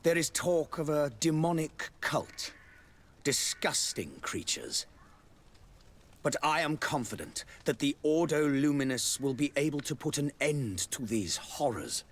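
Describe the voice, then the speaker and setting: An actor playing a suave, pompous religious dignitary and ambassador in a video game, speaking in a courtly, florid and unctuous manner. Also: male voice, a little high, animated, a little slow, a little hiss or hum. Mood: smooth, proud. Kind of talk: video game dialogue acting.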